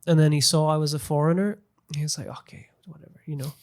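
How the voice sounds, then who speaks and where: A man's voice: a little high, steady tone, quick pace. A British man in his thirties chatting earnestly as a podcast guest, illustrating his points with examples. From Britain. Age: 30s. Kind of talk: casual conversational interview. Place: small podcast studio. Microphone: close studio microphone on stand.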